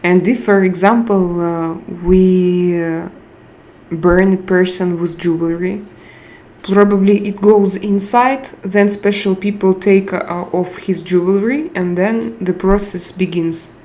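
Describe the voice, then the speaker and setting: A woman with a Russian accent chatting casually on a live stream, reading out viewer comments and hunting for English words with frequent pauses. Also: fairly low pitch, steady tone, mellow. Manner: slow, searching for English words with frequent hesitations.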